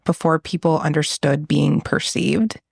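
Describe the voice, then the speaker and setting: A woman's voice: low voice, fairly even intonation, a little slow, vocal fry, a little mellow, husky, no echo, studio quality. A woman in her thirties co-hosting a podcast, chatting casually, joking at her own expense and laughing often. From New England. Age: 30s. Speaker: podcast co-host. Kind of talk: casual chat.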